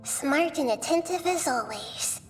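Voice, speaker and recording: A woman voicing a wise, gentle, childlike goddess in a game, speaking in a measured, patient, articulate way. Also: high-pitched, lively intonation, music in the background. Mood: calm, knowing. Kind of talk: animated game voice acting.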